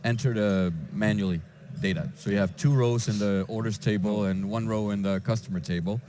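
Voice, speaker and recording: A man from Denmark, a database developer answering interview questions in a conference lounge, with frequent halting restarts. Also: fairly low pitch, steady tone, dark tone, slight background noise. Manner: hesitant, with many restarts and fillers.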